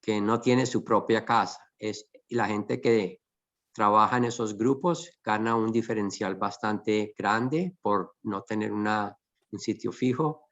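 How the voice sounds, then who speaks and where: A man hosting a conversational webinar, explaining things in halting, repeated phrases. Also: fairly low pitch, dull audio. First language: Spanish. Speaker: host.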